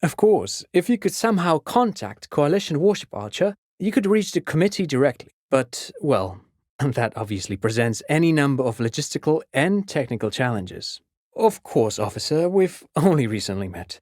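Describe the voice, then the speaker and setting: Polite intellectual man, video game character, pedantic, keen explainer, lively intonation, dry studio sound. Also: a little high, moderate pace, no echo. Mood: courteous, musing. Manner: pedantic, erudite, explanatory, courteous. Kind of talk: video game dialogue acting.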